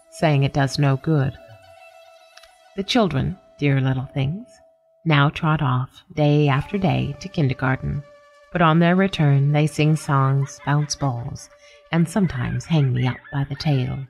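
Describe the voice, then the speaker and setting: A woman playing a nameless, observant house cat, narrating a literary radio story in a measured, formal, deadpan way. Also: low voice, gravelly. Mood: wry, reflective. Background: music.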